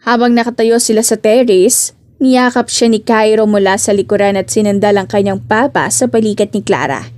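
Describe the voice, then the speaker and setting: A woman's voice: medium pitch, fairly even intonation, moderate pace, darkish tone, no echo. A woman reading scripted narration for a romance audiobook.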